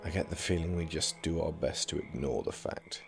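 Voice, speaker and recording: A quietly ambitious young prince with an extremely low voice and a gravelly creak. He speaks formally and drily in a fantasy audio drama, with music playing underneath. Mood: guarded. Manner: dry, measured, formal wit.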